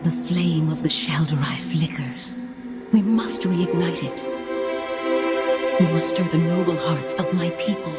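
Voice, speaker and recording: An actress playing a noble, resolute elven woman who leads rebels, speaking in stately, deliberate fashion for a fantasy game. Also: on the low side, close to an everyday voice. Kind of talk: fantasy game cinematic acting. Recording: loud music behind the voice.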